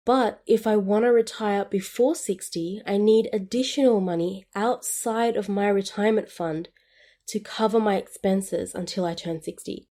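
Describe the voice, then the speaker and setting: A woman in her twenties hosts a scripted solo podcast with an upbeat, friendly manner. Her voice has a soft, mellow tone at a middling pitch. She explains each step clearly and evenly. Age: 20s. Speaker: podcast host.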